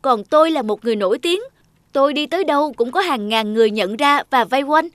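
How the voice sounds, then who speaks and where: A woman's voice: sits high, lively intonation, fast talker, no room sound, clean studio sound, pitched above the performer's own voice. A woman voicing a vain, pushy famous female singer in a cartoon, sounding demanding and indignant.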